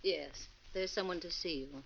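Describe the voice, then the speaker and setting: An actress playing a brisk, formal hospital nurse in a 1940s radio drama. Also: female voice, on the low side, creaky. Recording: dull, faintly noisy vintage radio recording.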